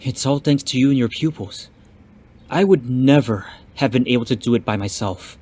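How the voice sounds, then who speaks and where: A man's voice: medium pitch, moderate pace, darkish tone, lightly acted. A man playing an eager, respectful young martial arts pupil, earnest and polite, in an audio drama. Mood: grateful. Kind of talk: fan audio drama acting.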